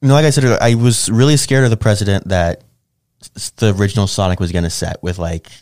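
A man's voice: lowish voice, fairly even intonation, fast talker, darkish tone, dry recording, studio quality. A man co-hosting a podcast in casual, conversational talk about films. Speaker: podcast co-host. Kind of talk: casual film discussion chat.

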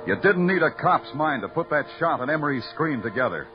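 A man's voice: on the high side, sing-song, a little fast. An actor playing a hardboiled male police lieutenant who narrates his case in a terse, wry, world-weary way. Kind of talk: hardboiled first-person radio narration. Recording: muffled old radio recording with music.

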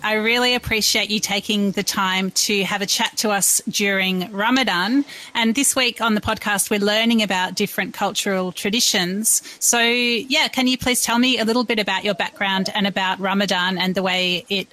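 A woman's voice: medium pitch, fairly even intonation, moderate pace, hardly any pauses, pushed and bright, poor audio. A woman hosting a podcast, asking friendly, curious questions. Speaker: podcast host. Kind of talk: podcast interview.

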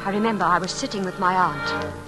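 An actress playing a guarded, resentful young niece and paid companion, cool and precise, in old-time radio drama style. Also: female voice, fairly low pitch, fairly quick, music in the background, natural voice. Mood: haunted, reflective. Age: young adult. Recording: vintage radio broadcast recording.

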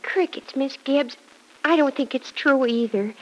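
Sweet earnest young maid girl, highish voice, old radio drama, folksy and artless. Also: a little slow, poor audio. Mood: fondly enthusiastic. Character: cheerful, devoted young housemaid, a farm girl. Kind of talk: classic radio drama acting.

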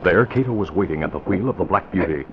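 A man's voice: low voice, animated, quick pace. A man reading scripted lines as an old-time radio announcer and narrator. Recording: muffled, faintly noisy vintage radio recording.

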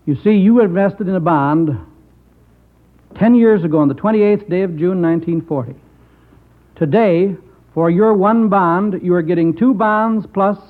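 A high-voiced man delivers a formal presentation as a public official. The sound is thin and narrow, like an old radio broadcast. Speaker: state savings bond official.

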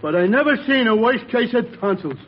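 High-voiced bumbling radio surgeon. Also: male voice, expressive, brisk. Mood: wisecracking. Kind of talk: old-time radio comedy acting. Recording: muffled, very noisy vintage radio recording.

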